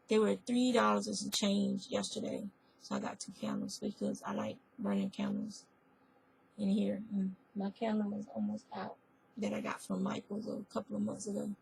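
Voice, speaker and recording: A woman whose voice is hoarse from a spreading cold, chatting casually in a crafting vlog and often rambling and correcting herself. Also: medium pitch, poor audio. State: hoarse, losing her voice. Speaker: fiber arts vlogger. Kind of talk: casual chat.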